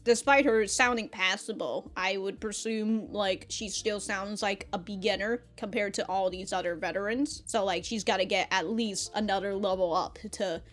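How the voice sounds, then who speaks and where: A woman's voice: mid-range voice, steady tone, moderate pace, hardly any pauses, faint music behind. A woman giving a casual episode review as a reaction host, in slangy, sweary talk with mock indignation and frequent laughter.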